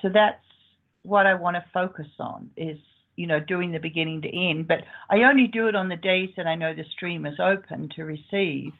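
A woman's voice: on the low side, moderate pace. Eager woman caller on a tinny phone line. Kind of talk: casual chat.

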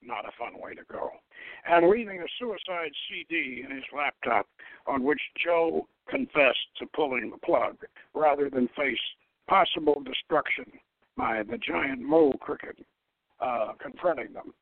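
A man giving a halting, wry dramatic reading of a story, stumbling over the text now and then. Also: a little high, lively intonation, vocal fry. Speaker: host reading own novel aloud. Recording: thin, dry, phone-like radio line.

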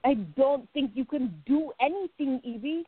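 Woman acting playful young woman in love, radio play, high voice, takes her time, very dry close sound. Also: moderate pace, dry recording, narrow, tinny audio, close to an everyday voice. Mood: upset, protesting.

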